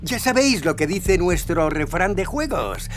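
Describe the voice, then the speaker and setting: An actor playing a depraved, masochistic father in an audio drama, teasing his children in drawn-out, savouring phrases. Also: high-pitched, sing-song, a little hiss or hum. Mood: playful, eager. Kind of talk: Spanish audio drama acting.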